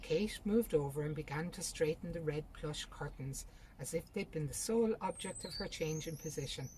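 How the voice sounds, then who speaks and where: A woman's voice: low-pitched, moderate pace, warm tone, a little hiss or hum, rough recording. A woman reads a literary short story aloud in narration.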